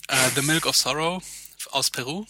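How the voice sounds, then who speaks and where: A man co-hosting a podcast, chatting casually and hesitantly, with many fillers and quick laughs. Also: medium pitch, sharp tone, dry recording. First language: German. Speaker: podcast co-host. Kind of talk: casual chat.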